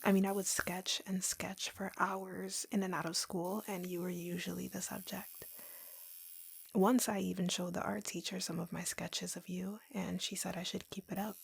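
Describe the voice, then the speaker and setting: Female performer for yandere girlfriend roleplay: soft, lowish, even-toned, obsessively in love, confiding at close quarters. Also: heavily acted. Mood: bashful, fond. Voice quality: soft, breathy, whispery. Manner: slow, intimate, halting confiding. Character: lovesick, obsessive yandere woman tattoo artist. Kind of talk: intimate girlfriend roleplay audio, POV.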